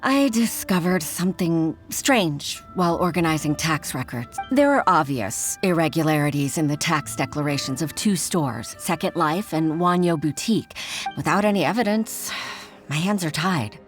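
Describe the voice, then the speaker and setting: Junior clerk in a game, kinda weary, respectful, lowish voice. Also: female voice, lively intonation, normal speed, faint music behind. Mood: troubled, weary. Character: earnest, troubled junior office clerk, adult woman. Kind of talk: video game voice acting.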